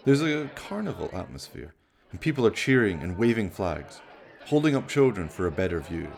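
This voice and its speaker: Man acting a sardonic TV documentary reporter, florid, ominous storytelling, lively pitch, radio play parody. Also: normal speed, vocal fry, a faint crowd, exaggerated voice.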